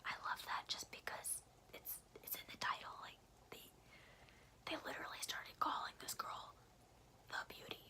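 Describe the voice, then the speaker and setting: A woman softly and carefully whispering in a hushed, breathy ASMR style, as a storyteller reading to the listener. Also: normal speed. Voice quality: breathy whisper with some creak. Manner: soft, careful, hushed delivery.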